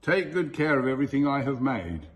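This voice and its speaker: A man voicing a mighty, watchful male sky god in a storybook, with a booming, commanding delivery. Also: sing-song, fairly quick, big-room sound, close to an everyday voice.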